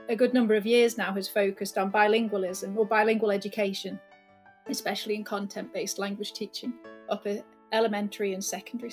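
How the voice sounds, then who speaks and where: A woman hosting a podcast, introducing her guests in a measured, welcoming way. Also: fairly high pitch. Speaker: podcast host, senior lecturer.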